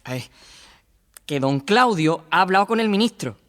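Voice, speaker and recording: A man playing an excitable, chatty male friend bringing news in a theatrical radio drama. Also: high voice, moderate pace, in a large hall. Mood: excited.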